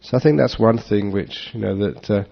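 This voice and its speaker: A man giving a relaxed Dharma talk to an audience, joking and chuckling as he answers questions. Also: lowish voice, moderate pace, vocal fry. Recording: dull lo-fi talk recording.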